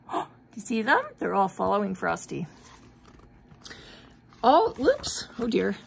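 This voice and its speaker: A woman's voice: medium pitch, wildly swooping pitch, slow, vocal fry, a little hiss or hum. A middle-aged or older woman with a mild cold, reading a children's story aloud expressively, with questioning asides to young listeners. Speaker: grandmother reading to grandchildren.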